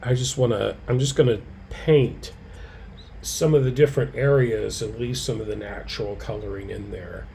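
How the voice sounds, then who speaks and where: Mellow, slightly hoarse man demonstrating crafts. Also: fairly low pitch, normal speed, a little hiss or hum. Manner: relaxed, talks softly to himself while he works; answers viewers' comments by name. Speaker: quilting educator.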